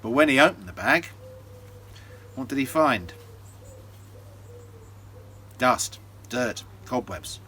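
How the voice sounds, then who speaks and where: A man telling a folktale as a storyteller, in a theatrical, deliberate way with dramatic pauses. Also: medium pitch, expressive, bright, forceful tone, husky.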